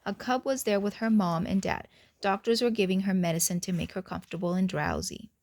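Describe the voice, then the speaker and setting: A woman's voice: mid-range voice, fairly quick, darkish tone. A woman reading a picture book aloud to children, with chatty asides to them.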